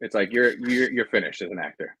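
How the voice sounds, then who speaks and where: Husky low-voiced man, blunt and profane. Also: animated, fairly quick, pushed and bright, no room sound. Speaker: podcast guest, actor. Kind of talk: casual chat. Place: home room, indoors.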